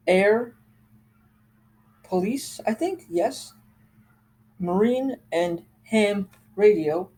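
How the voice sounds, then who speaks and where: Mellow-voiced casual gadget show-and-tell host. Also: medium pitch, a little hiss or hum, low-quality recording. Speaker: host of a gadget collection video.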